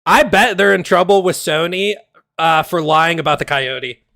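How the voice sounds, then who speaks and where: A male streamer rants in a heated, exasperated way, cursing freely as his voice goes hoarse. His voice sits a bit high with a gravelly fry. The sound is dry and close, with no room echo. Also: moderate pace, pushed and bright. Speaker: game streamer. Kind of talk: casual livestream rant.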